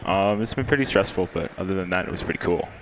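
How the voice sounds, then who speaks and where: Low-voiced, quick-talking teenage male. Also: fairly bright tone, slight background noise, poor audio. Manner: understated, matter-of-fact. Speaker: tournament champion being interviewed. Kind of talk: casual interview answers.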